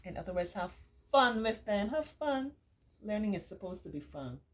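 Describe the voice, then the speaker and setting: Middle-aged female storytime tutor, deep voice, reassuring and encouraging, stretches key words while reading and explaining to children and parents. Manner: encouraging, reassuring, repeats points for emphasis. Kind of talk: read-aloud story with warm, informal teaching asides.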